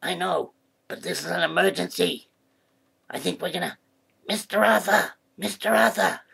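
A woman acts out a playful cartoonish duck with a heavily gravelled, husky voice that sits on the low side. The duck is mischievous and curious, made for a children's comedy skit. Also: steady tone, moderate pace, a bit bright, exaggerated voice. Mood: conspiratorial. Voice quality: creaky, husky. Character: playful, mischievous talking duck who loves pressing buttons.

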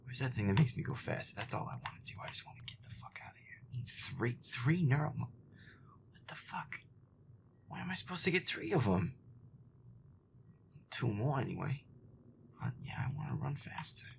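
A man playing a feisty, profane young werewolf boyfriend muttering jumpily through a scary video game in a breathy, hushed voice. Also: medium pitch, sing-song, normal speed, pauses often, whispered. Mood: irritated muttering. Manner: profane, irritable, jumpy muttering. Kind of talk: improvised-sounding gaming commentary, audio roleplay.

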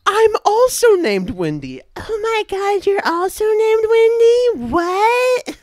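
A flirty, doting suburban mother played by a man in an improvised comedy podcast. She gushes and exclaims, with a very lively, swooping pitch and a dry, close sound. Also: takes it slowly, no room sound, exaggerated voice. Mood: excited. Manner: gushing, exclamatory.

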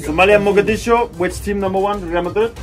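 A man in his thirties talks to a handheld camera at arm's length while strolling through a busy street market. His voice sits a little high for a man and stays bright and chatty. A music bed plays clearly underneath. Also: quick pace. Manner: upbeat, chatty, greets passersby. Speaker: travel vlogger. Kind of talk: walking vlog to camera. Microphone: handheld action camera at arm's length.

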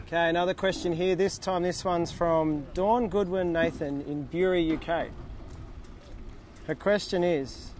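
A man's voice: on the high side, fairly even intonation, a little slow, darkish tone, a little hiss or hum. Cheerful young man hosting a fan Q&A. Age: young adult. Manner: cheerful, joking, reads questions aloud. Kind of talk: casual on-camera chat. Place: outdoors at a boat tent by a harbour. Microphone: handheld camera close up.

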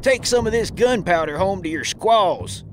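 A man's voice: sits high, expressive, normal speed, music in the background. A man playing a gleeful, rowdy Old West gunslinger in an audio drama, jeering in folksy talk.

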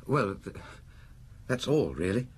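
Earnest young aristocrat, lively, hesitant. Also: male voice, lowish voice, slow pace, husky, exaggerated voice. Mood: confiding, anxious. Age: young adult. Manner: polite, hesitant, well-bred. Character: earnest, nervous young French aristocrat, a student. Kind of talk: radio drama acting. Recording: old radio broadcast with background noise.

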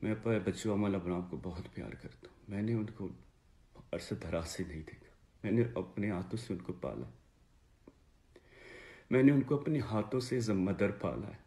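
A man in his thirties with a Pakistani accent, giving an earnest, halting personal statement to camera and repeating phrases for emphasis. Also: lowish voice, animated, mellow. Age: 30s. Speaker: father making a personal video appeal. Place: small indoor room, seated before a plain wall.

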